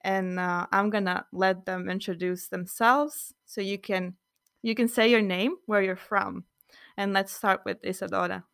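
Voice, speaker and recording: Young female podcast host, easygoing, smooth tone, dry close sound. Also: mid-range voice, steady tone, unhurried, fairly bright tone, no room sound. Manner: friendly, loosely improvised with fillers. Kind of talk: casual podcast chat.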